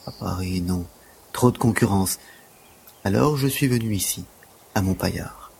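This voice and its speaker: A man's voice: low voice, measured pace, gravelly, a little mellow, husky, exaggerated voice. A man playing a humble, deferential gymnastics teacher in an audio drama, speaking in earnest, wordy, formal phrases.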